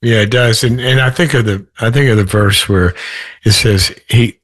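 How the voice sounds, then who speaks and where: A man with a low, hoarse voice, speaking slowly and thoughtfully as a guest in an interview. He wanders through his points and restarts his sentences. The sound is dry and close. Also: a bit bright, dry recording. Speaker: author guest. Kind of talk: podcast interview.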